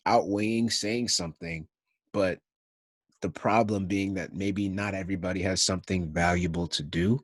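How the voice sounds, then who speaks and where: A young man with a low, deep voice hosts an interview over a video call from a room at home. His speech is dry and close to the webcam mic, loose and reflective, with frequent laughs and many "like"s. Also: normal speed, no echo. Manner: animated, reflective, laughs often, says "like" a lot. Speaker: interviewer, host. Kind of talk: casual video call conversation. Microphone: video call, laptop webcam mic.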